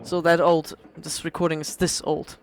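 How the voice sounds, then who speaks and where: A hoarse woman casually rambling through gameplay commentary as a let's play creator, self-deprecating with many fillers. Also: low-pitched, flat delivery, normal speed, a little hiss or hum.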